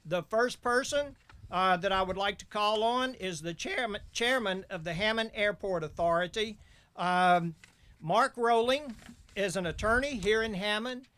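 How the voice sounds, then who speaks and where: Middle-aged man, high voice, folksy ceremony speech. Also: unhurried, bright, forceful tone, clear, resonant tone. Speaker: mayor and master of ceremonies. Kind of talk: ceremony welcome speech with anecdotes.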